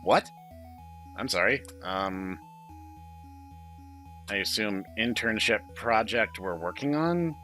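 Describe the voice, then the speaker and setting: A man's voice: lowish voice, lively intonation, slow pace, pauses often, bright, forceful tone, faint music behind. A man hosting a gaming video, giving casual, wry commentary as he reads along. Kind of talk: casual game commentary and read-aloud.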